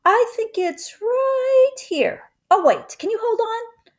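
A woman's voice: very high voice, lively intonation, slow pace, pitched above the performer's own voice, heavily acted. A woman reading a storybook, voicing a teasing mother who playfully stalls. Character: teasing mother of a sporty child.

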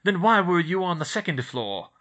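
A man's voice: high voice, sing-song, normal speed, a bit bright, voice pushed up, exaggerated voice. A man doing a sneering stern professor. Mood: suspicious.

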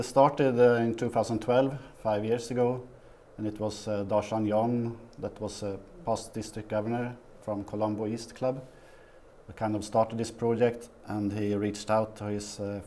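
A Swedish man in his fifties, a guest in a small TV studio interview, talking haltingly with many fillers. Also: fairly low pitch, a little mellow, slight background noise. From Sweden. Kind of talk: casual interview.

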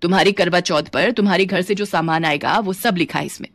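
A woman's voice: fairly low pitch, a little fast, no room sound. A woman voicing a demanding middle-aged mother-in-law with a stern, instructing manner in a family story.

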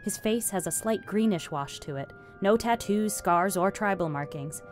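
A woman's voice: mid-range voice, normal speed. Woman narrating a drama script. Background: faint music.

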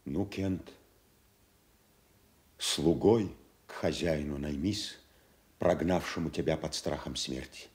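Casting: banished loyal nobleman, extremely deep, slow, resolute, radio play. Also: a little mellow. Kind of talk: theatrical radio soliloquy.

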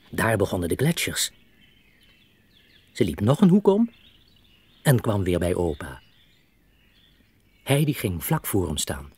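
A man narrating a scripted children's story as an expressive storyteller. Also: sits low, slightly rough.

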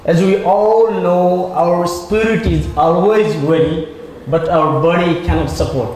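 Earnest Nepali pastor in an echoey hall. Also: male voice, high-pitched, fairly even intonation, darkish tone, a little hiss or hum. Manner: earnest, halting with fillers. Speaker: visiting pastor and preacher. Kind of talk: conference sermon in English, interpreted line by line. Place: large hall stage with red curtain. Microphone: handheld mic.

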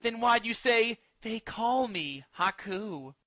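A man acts out a brash, dim young cartoon ninja boy. The voice is high and jumps around as he argues, recorded dry and a little dull for a parody dub. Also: animated, takes it slowly, no echo. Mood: confused. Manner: brash, argumentative. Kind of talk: comedic anime parody dub.

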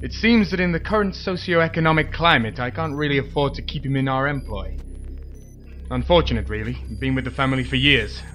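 An actor playing a self-important, penny-pinching superhero in a comedy audio drama, earnest and declamatory. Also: male voice, mid-range voice, brisk, fairly bright tone, soft background music, lightly acted.

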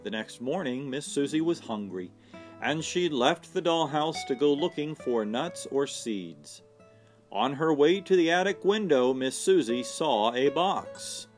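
Male storyteller, children's read-aloud, slow delivery, lively expressive intonation, faint guitar backing. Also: fairly high pitch. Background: soft guitar music.

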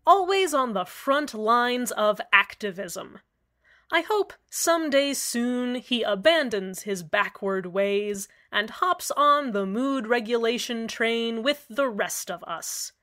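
A woman playing a cheerful radio host with an eerily calm, sweet manner. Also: fairly high pitch, slow, heavily acted. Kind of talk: scripted radio drama narration.

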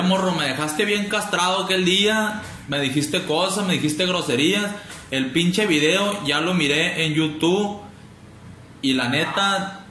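Young Mexican prank host, slangy mock tough talk, far camera in a living room. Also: male voice, fairly high pitch, bright, forceful tone. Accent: Mexican Spanish. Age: young adult. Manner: mock-threatening, slangy, fast. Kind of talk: prank phone call. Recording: echoey room.

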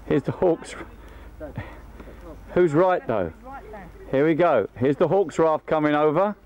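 A man's voice: fairly high pitch, animated, slow pace, darkish tone, lo-fi sound. A man giving live home-video commentary and calling out instructions as a leader running games, recorded outdoors on a camcorder. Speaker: scout leader filming and running camp games. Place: outdoors at a riverbank and campsite. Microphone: behind a handheld camcorder. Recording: amateur camcorder audio outdoors.

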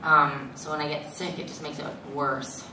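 A woman voice actress with a gravelly voice, chatting on a convention panel. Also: sits low, little pitch movement, normal speed. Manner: chatty, laughing often. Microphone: handheld mic, far camera. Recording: echoey hall, distant camera, crowd noise.

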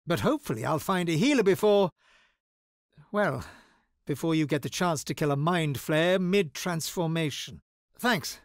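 A wry bookish wizard, highly animated. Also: male voice, no room sound, clean studio sound. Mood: hesitant, pleading. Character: bookish wizard, wry, anxious, guarded scholar of devils. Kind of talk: video game voice lines.

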